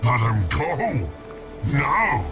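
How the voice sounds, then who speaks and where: An actor playing a bitter, vengeful monster in a game, with a gravelly voice and a theatrical, accusing delivery. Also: male voice, mid-range voice, wildly swooping pitch, drawn-out words, heavily acted. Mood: furious. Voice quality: gravelly, creaky. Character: bitter, vengeful male monster who distrusts all humans. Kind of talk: video game cutscene acting. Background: music.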